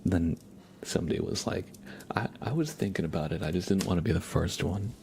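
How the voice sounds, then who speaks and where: A man hosting an ASMR livestream in a hushed, unhurried, rambling way, speaking softly and casually close to the microphone. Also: deep voice, vocal fry, a little hiss or hum. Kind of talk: live ASMR, soft whispering and quiet casual chat.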